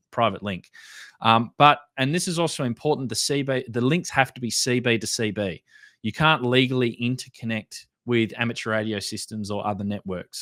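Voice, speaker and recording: An Australian man talks viewers through a subject as a hobby channel host. His voice sits at an average male pitch, and his delivery is informal and explanatory. The sound is very dry, as if close to the microphone. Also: fairly bright tone, no room sound.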